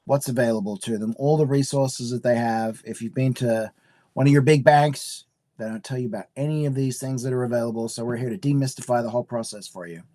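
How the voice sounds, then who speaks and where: A middle-aged man, originally from Australia, hosts a live webinar in an upbeat, chatty way, dropping plenty of "um"s and "uh"s as he talks to his viewers. His voice is a little hoarse from a cold. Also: medium pitch, darkish tone. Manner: upbeat, chatty, many fillers. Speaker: realtor hosting a webinar.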